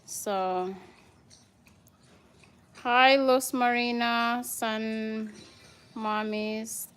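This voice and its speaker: A woman's voice: fairly high pitch, steady tone, extremely slow, fairly bright tone, clean tone. A woman from the Philippines with a Filipino accent, chatting casually as she gives viewers a tour of her house and greets them by name. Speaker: vlogger, mother.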